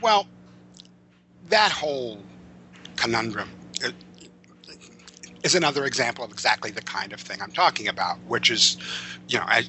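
A man's voice: mid-range voice, expressive, normal speed, pauses often, bright, forceful tone, slight background noise, low-quality recording. A man speaking as an interview guest, conversational and wordy, often stammering over repeated words and circling back to rephrase. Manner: articulate, wordy phrasing. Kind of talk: conversational storytelling and opinion about comics and film.

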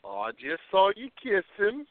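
A man's voice: high-pitched, huge pitch swings, a little slow, dry recording, exaggerated voice. A man playing a cheeky, mischievous neighbour with a teasing manner in a radio play. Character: Earl, cheeky, grinning male neighbour. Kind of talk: amateur radio play acting. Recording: thin phone-like audio, dry.

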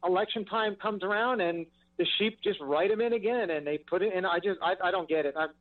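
A man's voice: high voice, fairly quick. An older man calling in to a talk show, sounding frustrated and stammering through restarts. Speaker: caller. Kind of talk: phone-in call to a talk show. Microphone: phone. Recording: tinny distant phone line.

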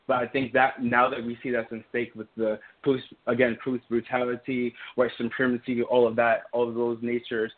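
Man from Trinidad on a tinny line. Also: medium pitch. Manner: halting, many restarts and fillers. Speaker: guest, PSA creative. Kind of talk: casual interview talk.

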